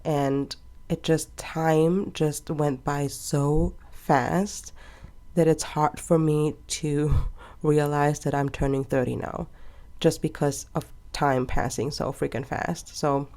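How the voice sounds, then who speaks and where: A woman's voice: low voice, fairly even intonation, slow pace. A woman in her twenties chatting casually and rambling a little as she decorates her planner. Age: 20s. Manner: chatty and rambling, with soft laughs. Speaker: planner vlogger. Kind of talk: casual chat while planning.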